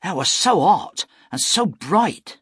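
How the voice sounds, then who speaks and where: An innocent, wide-eyed young boy from a novel, acted by a male narrator. The pitch sits well above the man's own and moves in animated swoops, earnest and excitable, in a dry recording. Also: a little slow, dry recording. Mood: excited.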